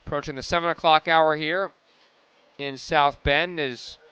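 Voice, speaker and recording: A man's voice: a little high, steady tone, unhurried, pushed and bright, smooth, muffled recording. A man doing radio sports commentary as a play-by-play announcer.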